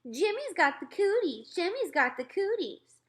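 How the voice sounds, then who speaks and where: A woman's voice: very high-pitched, big pitch swings, normal speed, pitched above the performer's own voice. A woman voicing a bossy, dramatic, plaintive schoolgirl in a children's storybook. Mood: teasing. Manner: plaintive, exclaiming. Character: bossy, dramatic, shrill schoolgirl, easily upset.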